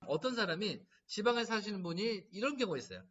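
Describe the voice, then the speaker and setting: Middle-aged man, laughter therapy lecturer, bright lively voice, distant lo-fi sound. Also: sits high, fast talker, breathy edge. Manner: lively storytelling, animated quoting. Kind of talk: lecture to caregivers. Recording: distant mic, dull lo-fi sound.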